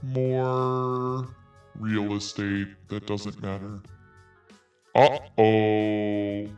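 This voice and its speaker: A man's voice: on the low side, little pitch movement, drawn-out words, a little mellow, recorded from a distance, muffled recording, soft background music. A man hosting a commentary podcast, reading messages aloud in a sarcastic tone and swearing freely. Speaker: podcast host.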